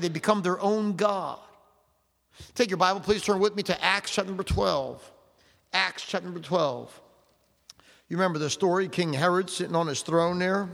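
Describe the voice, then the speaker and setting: An older man preaches with fervor in a high, lifted voice, leaning hard on each verse and slowing to stress the scripture. His words ring out with a long echo through a large hall. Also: vocal fry, a bit bright. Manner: fervent preaching, slows and stresses scripture readings, asks the congregation questions. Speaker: pastor. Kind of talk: sermon. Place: church sanctuary.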